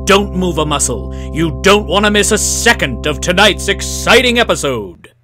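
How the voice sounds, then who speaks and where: A man's voice: high-pitched, sing-song, moderate pace, over loud music. A man playing the announcer of an old-time radio serial, narrating in a grandiose, suspenseful way. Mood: thrilling.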